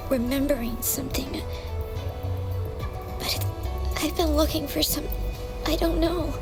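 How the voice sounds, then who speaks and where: A woman playing a devoted, gentle young pupil in a video game, speaking in an earnest, hesitant way. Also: extremely high pitch.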